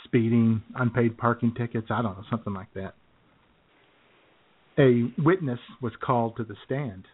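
An American man co-hosting a radio show, telling casual, joking stories in a halting way, often clearing his throat. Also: medium pitch. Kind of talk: casual comic chat, telling anecdotes. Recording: phone-like, narrow-band sound.

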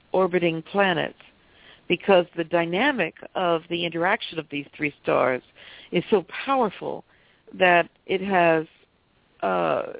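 A woman's voice: lowish voice, dry recording. A woman guest with a stuffy cold, earnestly explaining her views as a psychic and author over a phone line. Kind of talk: phone interview, explanatory talk.

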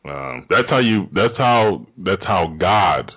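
Male host with a very deep voice, loose rambling delivery, thin phone-like recording. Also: lively intonation, slow pace, slightly rough. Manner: rambling, many fillers and restarts. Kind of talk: casual radio show talk. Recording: tinny phone-like audio.

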